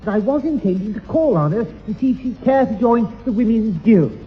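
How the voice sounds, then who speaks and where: A man's voice: high-pitched, expressive, fairly quick, faint music behind. An actor playing a prim, fussy male neighbour in a 1960s radio sitcom, English, with a hesitant and over-polite delivery. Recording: muffled 1960s radio broadcast.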